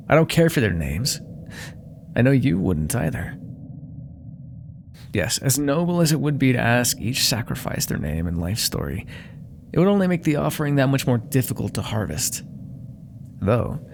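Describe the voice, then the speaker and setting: A man's voice: mid-range voice, gravelly, background noise. A man playing a proud, menacing demon dealmaker in an ASMR roleplay, theatrical and condescending, coaxing with whispered asides. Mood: teasing, approving.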